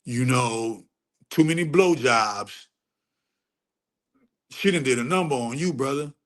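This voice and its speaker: Casting: middle-aged man, mid pitch, preachy streamer, crude and emphatic, repeats himself. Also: slow. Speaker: livestream host answering chat. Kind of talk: casual unfiltered livestream rant.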